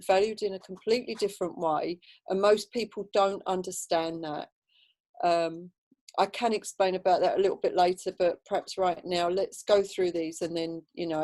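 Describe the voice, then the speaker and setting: A woman's voice: on the low side, darkish tone. A woman giving an informal, rambling slide presentation on a video call. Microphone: video call microphone.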